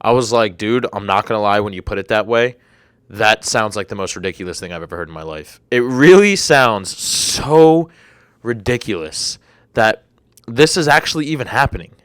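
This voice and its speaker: A man's voice: medium pitch, a bit bright, no echo, studio quality. A young man in his twenties hosting a solo podcast, rambling and incredulous, with a slightly congested throat. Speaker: podcast host. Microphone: desk microphone.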